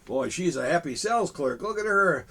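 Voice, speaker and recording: A man reading a children's picture book aloud, with chuckling, animated asides to young listeners. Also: a little high, moderate pace, breathy edge. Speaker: storyteller in a Santa persona.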